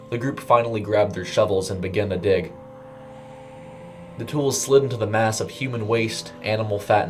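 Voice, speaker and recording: Low-pitched man narrating a story. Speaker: narrator and voice performer.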